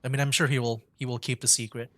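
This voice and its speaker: A man's voice: medium pitch, level tone, quick pace, background noise. A man giving casual live commentary as he plays a game, reacting in a hesitant, muttering way. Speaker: streamer, VTuber playing a game.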